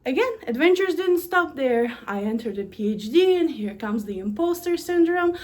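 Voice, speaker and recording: A woman with a Russian accent gives a prepared talk about her own journey. Her voice sits a little high for a woman, and she speaks earnestly. When she lists things, she stresses each item in a steady rhythm. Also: normal speed. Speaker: university administrator. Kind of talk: prepared personal story talk.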